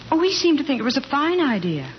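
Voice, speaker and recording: On a muffled, noisy old radio recording, a scheming, lovelorn married woman with a high voice. She is theatrical and insistent, in 1940s radio comedy manner. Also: big pitch swings, fast. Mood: coy. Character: scheming, melodramatic married woman professing forbidden love.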